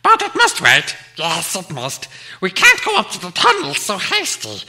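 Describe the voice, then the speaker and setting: Storybook creature role: spiteful, slimy wheedler, hissing and shrieking, extremely sharp, huge pitch swoops. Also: male voice, wildly swooping pitch, normal speed, full character voice. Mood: sly, impatient. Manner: fussy muttering with repeated words. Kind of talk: audiobook character acting.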